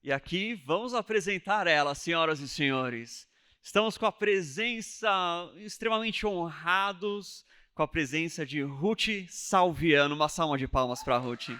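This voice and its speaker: A man's voice: fairly high pitch, a little slow, pushed and bright, smooth. A man hosting a church talk show, introducing guests with effusive enthusiasm. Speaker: host.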